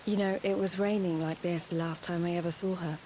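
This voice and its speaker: An actress voicing a poised, earnest young princess in a video game cutscene, composed and well-spoken with a wistful streak. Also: female voice, on the low side, fairly even intonation, brisk, a little mellow, a little hiss or hum, close to an everyday voice, rough recording. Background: music.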